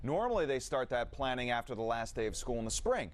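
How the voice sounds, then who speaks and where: An American man anchors a local newscast in a medium-pitched voice. He delivers the copy in a clear broadcast news style. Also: big pitch swings, quick pace.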